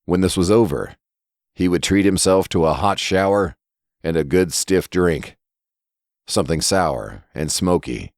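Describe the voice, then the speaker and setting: A man's voice: low voice, darkish tone, no room sound, studio quality. A man reading a scripted horror story as its narrator.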